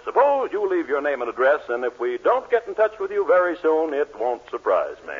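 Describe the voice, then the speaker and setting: A husky, pompous banker of middle years swings up and down with formal bluster. It is vintage 1940s radio comedy, heard on a muffled recording. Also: male voice, fairly high pitch, wildly swooping pitch, a little fast, exaggerated voice. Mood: formal, impatient. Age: middle-aged. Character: pompous, exasperated middle-aged banker and scheming father. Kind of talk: 1940s radio sitcom acting.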